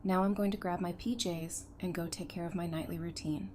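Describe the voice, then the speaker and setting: A woman playing a caring, gently teasing young survivor in an audio drama. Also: on the low side, fairly even intonation, brisk, a little hiss or hum, lightly acted. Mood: playful. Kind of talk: fan audio drama acting.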